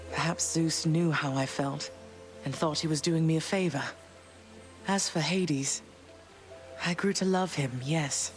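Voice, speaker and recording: A woman playing a gentle, reserved mother and exiled queen in a video game, speaking in a measured, reflective way. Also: sits low. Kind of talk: video game character dialogue.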